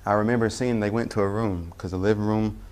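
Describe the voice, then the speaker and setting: A young man from Tennessee speaks with a very low voice. He tells his personal story unscripted, pausing often and correcting himself mid-sentence. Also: steady tone, a little mellow. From Tennessee, US Southern. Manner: halting, self-correcting with many "uh" pauses. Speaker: convert telling his story. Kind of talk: personal testimony.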